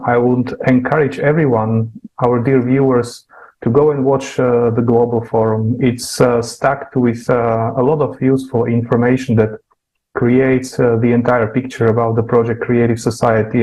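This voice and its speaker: A man hosting an online discussion, speaking in a halting way with frequent uhs. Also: mid-range voice, darkish tone, low-quality recording. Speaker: discussion host.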